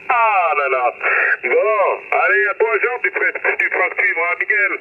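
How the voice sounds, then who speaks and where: High male voice through crackly narrow radio. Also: lively intonation, a little slow. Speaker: amateur radio operator. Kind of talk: casual radio chat. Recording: phone-like, noisy radio transmission.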